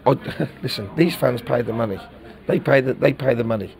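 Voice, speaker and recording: A middle-aged football manager speaks frankly after a match. His voice sits at an ordinary middle pitch, and he repeats words and restarts his sentences as he works through his thoughts. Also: normal speed, gravelly, a little mellow. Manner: candid, repeats words while searching for them. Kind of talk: post-match interview.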